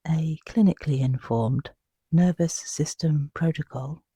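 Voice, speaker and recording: A meditation guide with a soft, breathy voice, narrating a sleep meditation in a hushed, soothing, unhurried way with long pauses. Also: medium pitch, no room sound.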